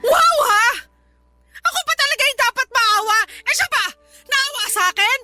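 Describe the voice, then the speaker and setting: A woman's voice: very high-pitched, expressive, moderate pace, sharp tone, exaggerated voice. A woman playing a hurt, resentful grown daughter and breadwinner in a radio drama, emotional and reproachful, with a Filipino accent. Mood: indignant.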